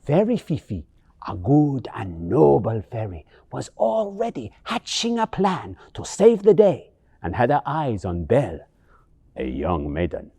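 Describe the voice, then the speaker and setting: A man tells a scripted fairy tale with pantomime flair. His pitch swings expressively from phrase to phrase, and his voice is mellow and a little husky. The recording is dry and close. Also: a little slow, no echo. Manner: theatrical, expressive. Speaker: storyteller. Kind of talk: scripted bedtime story narration, pantomime flavour.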